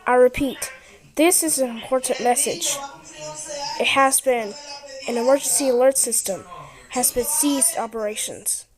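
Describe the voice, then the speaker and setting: A woman's voice: high-pitched, unhurried, loud music behind the voice, rough recording. A woman delivering a scripted emergency broadcast announcement in a formal, stilted manner.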